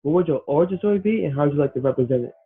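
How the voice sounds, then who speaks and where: A man's voice: on the high side, expressive, very fast, husky. A man hosting a podcast, asking the guest plain questions and repeating them when asked. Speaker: podcast host. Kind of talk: casual podcast interview. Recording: tinny phone-like line.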